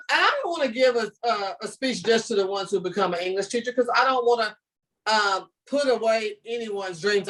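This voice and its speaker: A woman's voice: medium pitch, far from the mic, poor audio. Older Southern US woman on a video call. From southern United States. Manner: lively, storytelling, encouraging. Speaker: ESL teacher guest. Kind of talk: online video-call talk to students. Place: home room with bookshelves.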